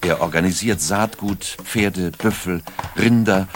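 A man narrating a scripted documentary story in a measured way, with dramatic emphasis. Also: sits low. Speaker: audiobook narrator.

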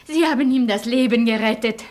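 A woman's voice: on the high side, a little fast, darkish tone, natural voice. An actress playing an anxious, earnest, devoted refugee woman in a German radio play, with emotional delivery. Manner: emotional, dramatic.

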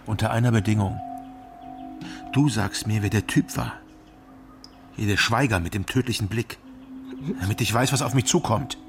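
Low-voiced, wry private detective. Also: male voice, moderate pace, creaky, pauses often, music in the background. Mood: probing. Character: dry, ironic East Berlin private detective. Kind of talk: radio drama dialogue and first-person narration.

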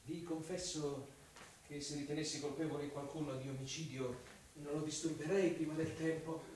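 A man's voice: on the high side, steady tone, normal speed, close to an everyday voice. Cunning theatrical investigator in a cavernous echo, acted by a man. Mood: mock-friendly. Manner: insinuating, teasing, swinging from hushed to loud. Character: cunning, cat-and-mouse male investigator, mocking and menacing. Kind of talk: theatrical Italian radio drama monologue. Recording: very echoey, distant microphone, faint noise.

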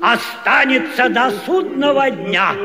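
High-pitched pompous padishah. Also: male voice, expressive, slow, in a large hall, crowd noise, heavily acted. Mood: indignant. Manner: declamatory, theatrical. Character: proud padishah, male ruler, pompous and easily indignant. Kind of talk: radio vaudeville acting.

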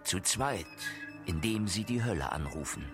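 An actor playing a grave male chronicler, narrating dark history solemnly in a radio drama. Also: deep voice, animated, moderate pace. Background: music.

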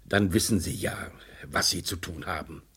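An actor playing a curt, authoritative police superior in a German crime radio play, with clipped delivery. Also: male voice, sits low, moderate pace, a bit bright, husky, full character voice. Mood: brisk, stern.

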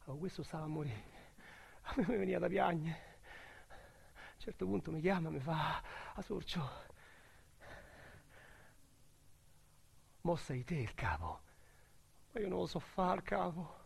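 An actor playing an excitable, streetwise Roman small-time robber, telling his story as a theatrical radio drama monologue in slangy dialect. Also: on the high side, moderate pace, vocal fry, pauses often, husky, full character voice. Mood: hushed, grieving. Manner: slangy, vulgar, theatrical storytelling with shouts and hushed asides. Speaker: actor narrator. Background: music under the voice.

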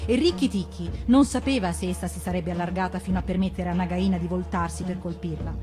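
A woman reading a story aloud as an actress, in an expressive storybook narration. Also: lowish voice, fast talker, over music. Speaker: actress reading stories on radio.

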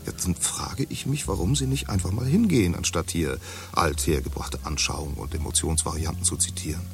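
A man's voice: deep voice, a little fast, creaky, soft background music. An actor playing a talkative, condescending man in a futuristic society who lectures his drinking companion. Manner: talkative, condescending, full of jargon. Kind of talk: radio drama acting.

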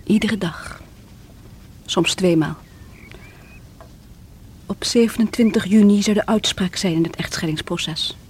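An actress playing a distraught, lovelorn divorced woman who confesses bitterly in a theatrical, often hushed and breathy radio-drama delivery. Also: medium pitch, a little slow, long pauses. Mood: recounting sadly. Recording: noisy vintage radio play recording.

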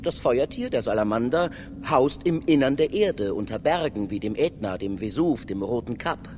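Actor as oracular philosopher, lively swooping intonation. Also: male voice, medium pitch, normal speed, slight background noise, lightly acted. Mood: didactic. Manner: declamatory, lecturing. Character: mysterious philosopher double, male, learned and oracular. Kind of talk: radio drama monologue.

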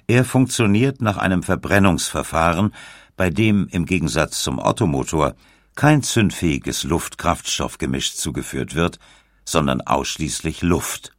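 A man narrating a scripted documentary in a measured, precise way. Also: very deep voice, lively intonation, no room sound, clean studio sound.

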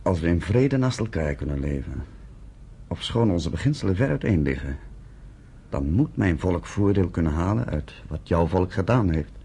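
Very deep, grave village headman. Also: expressive, normal speed, dark tone, slightly rough, heavily acted. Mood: solemn, earnest. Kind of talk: radio drama acting.

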